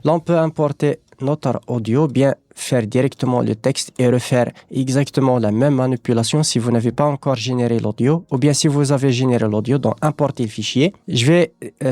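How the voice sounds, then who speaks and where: A man presenting a software tutorial, explaining things step by step. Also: mid-range voice, no room sound.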